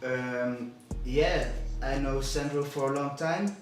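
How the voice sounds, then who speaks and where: A man's voice: medium pitch, takes it slowly. Man, Belgian French accent, hesitant rapper, roomy echo, far off mic, faint music. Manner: hesitant, many restarts. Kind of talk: casual interview answers. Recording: echoey room, distant mic, music behind.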